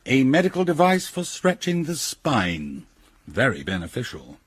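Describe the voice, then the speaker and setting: Husky, jovial clinic doctor. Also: male voice, medium pitch, huge pitch swings, a little slow, no echo, full character voice. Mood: breezy, reassuring. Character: smooth-mannered, jovial male health clinic doctor. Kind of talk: radio drama acting.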